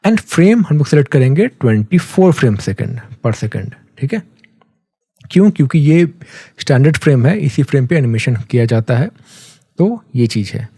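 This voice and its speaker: An Indian-accented man walks a learner through software in a casual, conversational way. His voice is mellow and relaxed at an average pitch, recorded very dry. He explains step by step, slipping in English terms and checking in as he goes. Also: moderate pace, slightly rough, no room sound. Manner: conversational, mixes English terms, often checks in with a tag phrase. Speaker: software tutorial instructor.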